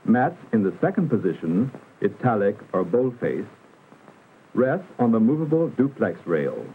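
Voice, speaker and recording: A man narrating a 1960s educational film in a formal, instructional manner with careful, measured enunciation. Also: on the low side, sing-song. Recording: muffled vintage film soundtrack, narrow band, hiss.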